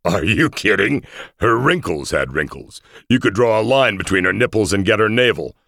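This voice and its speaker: A man's voice: on the low side, lively intonation, moderate pace, gravelly, pushed and bright, no room sound, pitched above the performer's own voice, exaggerated voice. A man voicing a wry scout in an audiobook, needling an old friend with dry understatement. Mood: teasing. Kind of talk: audiobook character voice.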